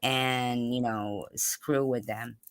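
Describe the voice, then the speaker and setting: A livestream host with a bright, crisp voice at a medium pitch, chatting casually with a guest. The delivery is insistent and halting, often repeating phrases to drive a point home. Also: fairly even intonation, no room sound. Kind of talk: casual livestream interview chat.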